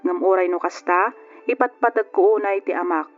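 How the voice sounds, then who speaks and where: Male actor as loving grown son, first-person radio narrator; high voice, thin phone-like old recording with music. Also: moderate pace, close to an everyday voice. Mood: reflective, tender. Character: grown son narrating, forgiving, loving towards his father.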